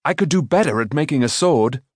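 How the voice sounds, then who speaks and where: A man's voice: mid-range voice, animated, moderate pace, darkish tone, no room sound, clean studio sound, close to an everyday voice. A man voicing an eager, impatient youth in a storybook, pleading and protesting. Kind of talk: storybook character voice.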